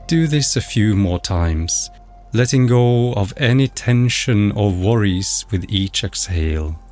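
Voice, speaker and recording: A man narrating a guided meditation in a measured, instructional, scripted way. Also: on the low side, expressive, darkish tone. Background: background music.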